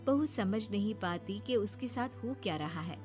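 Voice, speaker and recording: A woman's voice: mid-range voice, animated, normal speed, darkish tone, soft background music. A woman narrating a scripted cartoon story.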